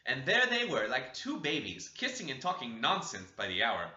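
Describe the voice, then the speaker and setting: A man narrating a classic novel with an expressive, varied delivery. His voice is very bright and his pitch moves a lot. The recording sounds roomy and somewhat dull, like a home setup. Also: moderate pace. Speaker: audiobook reader.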